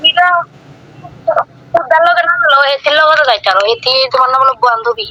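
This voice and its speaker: A woman's voice: high voice, lively intonation, quick pace, sharp tone. A woman with an eastern Bengali dialect complaining in a casual domestic argument on a recorded call, repeating phrases dismissively. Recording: phone call recording with faint noise.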